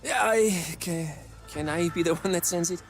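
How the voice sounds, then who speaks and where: An actor playing an excitable, earnest conspiracy nut sidekick in a video game, dramatic and insistent. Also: male voice, high-pitched, normal speed, soft background music. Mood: eager. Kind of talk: video game cutscene acting.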